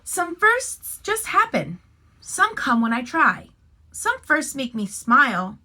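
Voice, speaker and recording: Female teacher type for children's read-aloud: high voice, lively swooping intonation, expressive storybook delivery. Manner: expressive, deliberate phrasing, addressing young listeners. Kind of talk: animated picture-book read-aloud with friendly asides.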